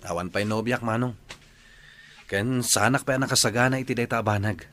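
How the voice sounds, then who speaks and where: A man plays a young Ilocano bachelor in a radio drama, teasing yet respectful toward his family. The voice is mid-pitched and natural. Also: fairly quick, a bit bright, lightly acted. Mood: teasing, admiring.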